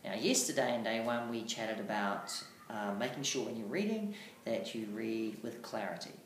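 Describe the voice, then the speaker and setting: A teacher giving an instructional lesson on reading aloud, speaking deliberately and emphatically with marked pauses. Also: mid-range voice, expressive. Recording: echoey room, faint background noise.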